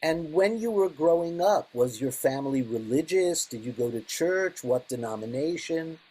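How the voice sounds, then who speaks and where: An older man with a husky, slightly rough voice conducts an interview. He comes from the Bronx and puts his questions in a conversational way. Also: a little high, animated, moderate pace, dark tone, rough recording. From Bronx, New York, United States.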